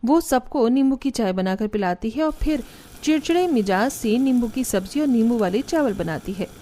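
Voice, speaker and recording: A woman's voice: on the high side, animated, normal speed, warm tone. A woman giving scripted narration for a cartoon family story.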